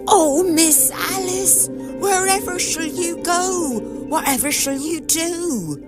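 An actress playing an anxious, deferential old British housekeeper who confides gossip in an audio drama. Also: female voice, extremely high pitch, slow pace, over loud music. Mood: distressed. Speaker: actor.

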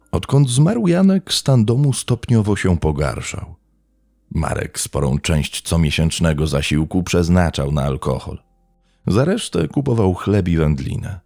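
A man reading scripted true crime narration in a measured, dramatic storytelling manner. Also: sits low, creaky, dark tone, no echo, studio quality. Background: faint background music.

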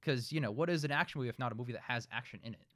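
A man's voice: medium pitch, very fast, fairly bright tone, dry recording. Rambling man in his twenties, dry sound. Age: 20s. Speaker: host of a film discussion show. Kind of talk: casual group discussion.

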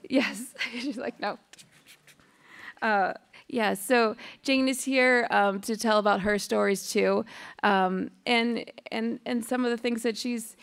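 Gravelly-voiced woman with a cold, moderating. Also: medium pitch. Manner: enthusiastic, chatty, many fillers and restarts. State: has a cold. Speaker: conference moderator. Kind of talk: introducing a panel at a conference. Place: large lecture hall, at a podium. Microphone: podium microphone.

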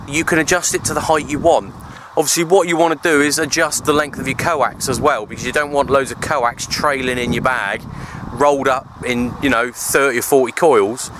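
Englishman rambling over noisy outdoor audio. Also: on the high side. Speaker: radio hobbyist vlogger. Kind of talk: casual outdoor vlog chat. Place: outdoors, open parkland in wind. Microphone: handheld camera at arm's length.

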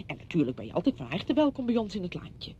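An actress in a Dutch radio play, playing a brisk, patronising woman who fusses chattily over a widowed friend. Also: very animated, warm tone. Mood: brisk, chatty. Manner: chatty, fussing, condescending. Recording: dull radio recording with rumbling noise.

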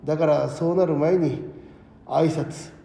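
A man acting an ageing, modest schoolteacher in an audio drama, speaking as a reflective, principled and gently frank mentor. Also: a little high, steady tone, vocal fry. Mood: reflective, earnest. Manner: reflective, measured mentor talk. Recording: echoey hall reverb, music underneath.

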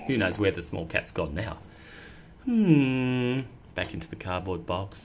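A woman's voice: a bass voice, animated, a little slow, darkish tone, a little hiss or hum. A woman storyteller reading a picture book to a child, with casual, encouraging asides to the listener.

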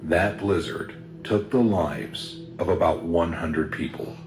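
A man reading a first-person horror story in a steady, measured storytelling voice. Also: deep voice. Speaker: narrator. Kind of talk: scripted horror story narration. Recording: roomy sound with music underneath.

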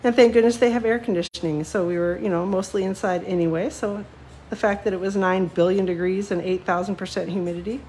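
A woman's voice: mid-range voice, steady tone, fast talker, hardly any pauses, a little mellow, a little hiss or hum, low-quality recording. A woman demonstrating card-making live, chatty and self-deprecating with lots of ums, a little sniffly from a cold. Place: home craft desk, seen from above.

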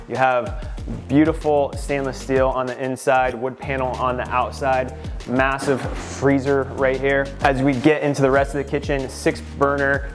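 A man in his thirties, a real estate agent narrating a walkthrough of a house in an upbeat, informative way. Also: mid-range voice. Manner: upbeat, informative salesman patter. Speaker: real estate agent giving a home tour. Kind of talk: walkthrough home tour narration. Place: inside a renovated house.

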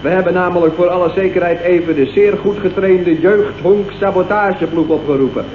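An actor playing a cocky, sardonic junior colleague in an old radio comedy, full of mocking remarks. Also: male voice, sits high, a little fast, phone-quality sound, close to an everyday voice. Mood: defiant. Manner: dry mocking asides. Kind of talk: 1970s Dutch radio comedy acting. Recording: thin, echoey, noisy old radio recording.

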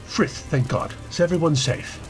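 An actor playing a troubled, brusque English gentleman husband in an old-time radio drama, terse and intense. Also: fairly high pitch, normal speed, soft background music, natural voice. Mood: alarmed.

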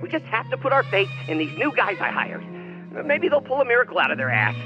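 An actor playing an anxious, apologetic cabaret boss speaking over the phone in a video game drama. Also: male voice, sits high, sing-song, quick pace, lo-fi sound, over music. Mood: hopeful, urgent.

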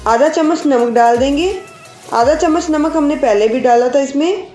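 A woman hosting a cooking channel, calmly explaining each step of a recipe to her viewers. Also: high-pitched, moderate pace, reverberant, faint music behind. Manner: calm, explanatory, addresses viewers directly. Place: home kitchen.

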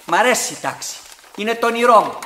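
A high-voiced, sardonic servant. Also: male voice, expressive, background noise. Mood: agitated. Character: resentful, restless servant man, dryly sardonic. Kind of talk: radio theatre acting.

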